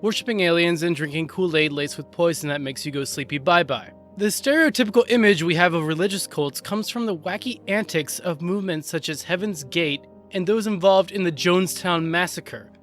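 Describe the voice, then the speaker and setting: A man's voice: high voice, fairly quick, few pauses, a bit bright, faint music behind. A man narrating a scripted comedic online list video in a jokey, tongue-in-cheek way.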